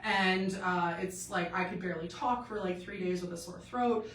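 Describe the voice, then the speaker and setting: A woman's voice: lowish voice, fairly even intonation, moderate pace, a bit bright, a little hiss or hum. Woman host, nasal and congested, rambling casual try-on chat, mic set back in a roomy space. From Canada. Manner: chatty, rambling with many fillers. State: stuffy-nosed from a cold. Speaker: boutique live-stream host. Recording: echoey room, mic at a distance.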